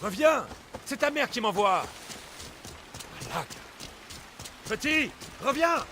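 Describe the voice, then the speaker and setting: An actor playing a determined, protective ancient Greek mercenary in a video game. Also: male voice, very high-pitched, big pitch swings, slow, noisy background, heavily acted. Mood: urgent.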